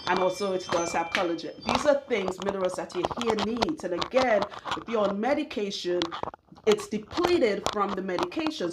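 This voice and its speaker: A woman's voice: mid-range voice, background noise. A woman in her forties from Trinidad with a Trinidadian accent, giving candid, chatty hair care advice that wanders off on tangents. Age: 40s. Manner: candid, chatty, digressive, laughs often. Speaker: loctician and hair care vlogger. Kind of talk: casual advice monologue.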